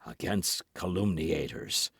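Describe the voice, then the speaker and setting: A man voicing a calm, shrewd musketeer captain. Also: low-pitched, takes it slowly, husky, dry recording, studio quality, full character voice. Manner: calm, quietly ironic. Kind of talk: dramatized audiobook reading.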